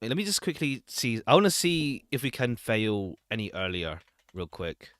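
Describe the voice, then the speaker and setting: A man doing casual gameplay commentary as a streamer, halting and repeating himself as he thinks aloud. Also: medium pitch, big pitch swings, fairly bright tone, no echo, clean studio sound. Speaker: streamer playing a visual novel. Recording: music playing behind the voice. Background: jazzy game background music.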